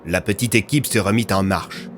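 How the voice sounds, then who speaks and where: A man's voice: on the low side, moderate pace, a little hiss or hum. A man narrating a scripted audio fiction as its storyteller.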